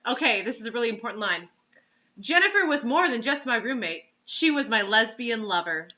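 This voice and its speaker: A woman's voice: a little high, expressive, brisk, pushed and bright, dull audio. A woman reading a story aloud as host, in a mocking dramatic way, breaking off for sarcastic casual asides.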